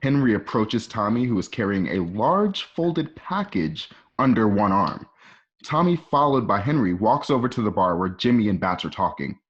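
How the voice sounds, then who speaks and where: A man reads screenplay directions as narrator of a radio play. His voice is medium in pitch. The sound is a bit dull and lo-fi. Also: a bit bright. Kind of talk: reading screenplay stage directions aloud. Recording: dull lo-fi home recording.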